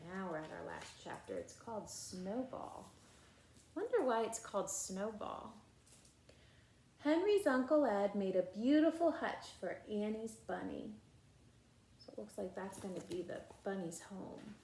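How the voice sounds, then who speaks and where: A woman storyteller reads a picture book for young children at an unhurried pace. Her voice sits at a medium pitch, and she draws out words with expressive emphasis, dropping in casual asides. The room around her is noticeably echoey. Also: vocal fry, a little hiss or hum. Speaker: read-aloud storyteller.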